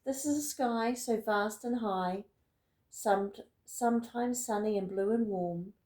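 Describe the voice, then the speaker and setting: A woman reading a rhyming picture book aloud in an expressive, deliberate storytelling manner. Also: mid-range voice, echoey room. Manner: dramatic, deliberate storytelling with emphatic words. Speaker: storybook reader.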